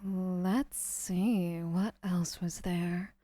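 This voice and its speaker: An actress playing a gentle, obsessive young yandere woman in an audio roleplay, speaking in soft, hushed murmurs. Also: lowish voice, fairly even intonation, extremely slow, no echo, clean studio sound.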